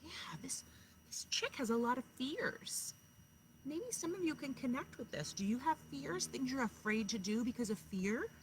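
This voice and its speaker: A woman's voice: high-pitched, big pitch swings, normal speed. A woman kindergarten teacher reading a story aloud outdoors and talking encouragingly to children, often asking them questions. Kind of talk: children's read-aloud with asides to young listeners. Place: outdoors in a backyard. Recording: clear outdoor background noise. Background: outdoor noise.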